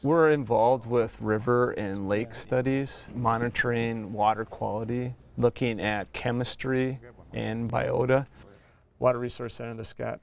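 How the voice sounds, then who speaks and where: A man, a university researcher, giving a steady, factual explanation in an interview. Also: mid-range voice, measured pace, vocal fry.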